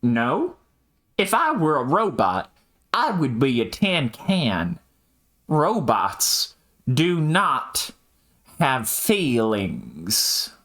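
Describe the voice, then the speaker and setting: A man voicing an aloof, pedantic artificial intelligence in amateur scripted dialogue, deadpan and brusque, with wordy, technical phrasing. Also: mid-range voice, extremely slow, heavily acted. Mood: dry, detached.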